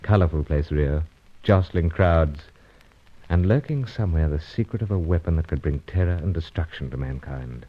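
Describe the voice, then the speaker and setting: An actor playing a suave, dry British secret agent with a clipped, understated manner in a radio serial. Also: male voice, extremely low pitch, normal speed, highs cut off. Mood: narrating. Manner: clipped, drops small words, understated. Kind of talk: old-time radio serial acting and narration.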